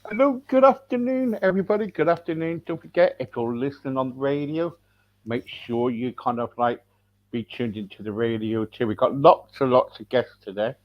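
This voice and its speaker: A man's voice: medium pitch, moderate pace. A man from England hosting a podcast, rambling in a casual, loose way as he greets listeners and introduces his guest. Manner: rambling, full of fillers and restarts. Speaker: podcast host. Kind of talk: casual radio-style interview hosting. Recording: slightly dull, lo-fi sound.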